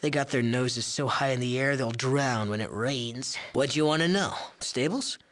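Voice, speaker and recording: An actor playing a cocky, lecherous young drug chemist in a video game, with a smug, sneering, streetwise and defensive manner. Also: male voice, medium pitch, normal speed, a bit bright, natural voice. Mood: irritated, cocky. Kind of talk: video game character acting.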